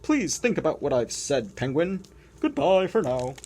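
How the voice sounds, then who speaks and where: A woman voicing a wise, gentle old walrus with a grand, soothing, poetic way of speaking, in a picture book read-aloud. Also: deep voice, sing-song, moderate pace, breathy edge, voice pushed up, full character voice.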